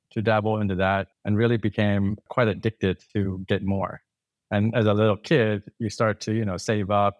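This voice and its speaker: A man with a lowish voice, recorded dry and close. He is a podcast guest who tells long, rambling stories full of restarts and fillers. He is in his forties and comes from Thailand. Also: dry recording, dull audio. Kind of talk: casual interview storytelling.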